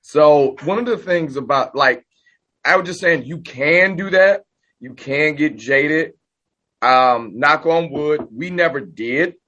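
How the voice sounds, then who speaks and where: Hoarse, high-energy man. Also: slow, pushed and bright, lo-fi sound. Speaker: podcast guest. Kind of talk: casual podcast chat.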